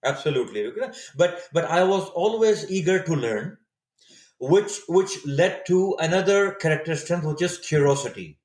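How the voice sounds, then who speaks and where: Native urdu speaker, man in his 50s, kinda high voice, thinking out loud with lots of restarts, echoey room. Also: expressive, moderate pace. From Saudi Arabia. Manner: reflective, searching for words with frequent restarts. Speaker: podcast guest. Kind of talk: casual interview storytelling.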